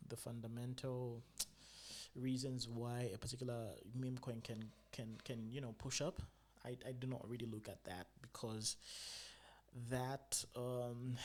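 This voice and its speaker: A man from Zambia, talking through his trades in a loose, filler-heavy commentary that breaks into excited exclamations. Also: medium pitch. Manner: loose and chatty, full of fillers and restarts; bursts into loud excited exclamations. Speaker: meme coin trader showing his trades. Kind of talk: live screen-share trading commentary.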